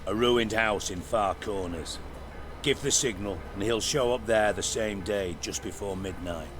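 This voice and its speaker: An actor playing a shrewd, sarcastic and menacing spymaster in a video game, measured and wry. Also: male voice, on the low side, music in the background, natural voice. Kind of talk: video game character acting.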